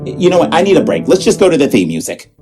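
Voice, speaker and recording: Male actor as theatrical audio drama narrator, animated, slightly high, mellow, music underneath. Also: fast talker. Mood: flustered. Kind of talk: scripted comic narration.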